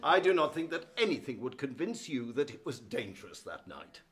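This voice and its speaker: An actor playing an insistent union barrister in a formal, accusatory courtroom radio drama. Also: male voice, mid-range voice, sing-song, fairly quick, fairly bright tone. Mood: accusing. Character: dogged, indignant male union barrister at a shipwreck inquiry.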